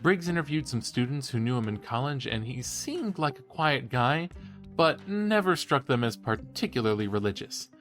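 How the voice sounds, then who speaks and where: Young male student podcaster, playful and conversational, very animated pitch, audio-drama banter with light music behind. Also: moderate pace. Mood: eager, explanatory. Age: young adult. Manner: playful, casual, animated storytelling. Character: cheeky, enthusiastic male college student podcaster.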